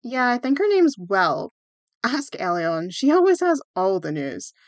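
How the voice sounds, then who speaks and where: Woman as a haughty, lively-pitched schoolgirl. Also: unhurried, dry recording. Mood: cool, superior. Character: haughty, self-assured teenage schoolgirl. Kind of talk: amateur comic fandub acting.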